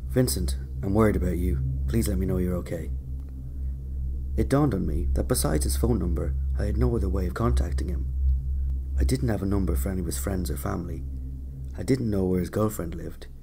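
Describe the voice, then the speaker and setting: A man's voice: deep voice, brisk, vocal fry, noisy background. A man narrating a scripted first-person story in an audio drama. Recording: music under the voice. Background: music.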